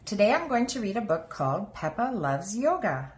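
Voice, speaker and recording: A woman's voice: mid-range voice, sing-song, normal speed. A woman reading a picture book aloud to young children as a storybook narrator.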